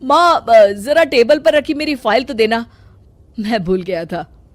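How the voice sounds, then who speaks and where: A woman's voice: a little high, lively intonation, unhurried, no room sound. A woman voicing an impatient, complaining young husband in a cartoon story. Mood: casual, evasive. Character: impatient young husband and son, office worker.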